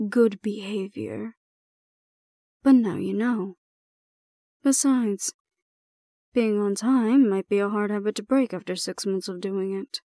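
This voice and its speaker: A woman playing a composed, stern female supervisor in an audio roleplay, speaking in a measured, formal and deliberate way. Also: medium pitch, warm tone, dry recording, studio quality. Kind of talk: scripted audio roleplay acting.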